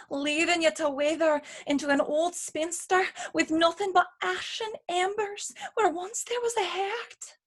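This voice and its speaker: A woman's voice: sits high, a bit bright. A woman playing a sassy, sarcastic lady's maid, loyal but teasing, in a radio play. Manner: sarcastic, needling.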